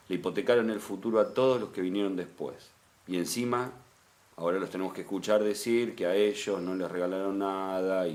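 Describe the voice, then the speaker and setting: A man with an Argentine accent gives an opinionated podcast monologue in a discursive, professorial way. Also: deep voice. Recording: faint background noise.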